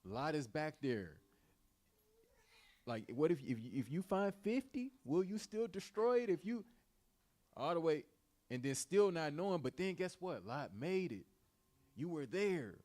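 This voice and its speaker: A man's voice: a little high, very animated, measured pace, long pauses, a little mellow. A man leading an informal Bible study, teaching and retelling in colloquial, repetitive everyday speech.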